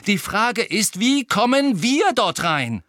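A man voicing a hot-tempered, desperate teenage wizard boy in an audiobook, loud, urgent and insistent. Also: on the high side, expressive, normal speed, no room sound, studio quality, pitched above the performer's own voice. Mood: urgent, angry. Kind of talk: audiobook character acting.

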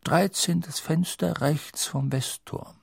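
A man reading an audiobook, voicing an old, wise wizard headmaster who speaks in a measured, grave and sometimes hushed way. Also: fairly even intonation, creaky, slightly rough, no room sound, studio quality, full character voice. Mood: hushed urgent. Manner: measured, low, at times hushed.

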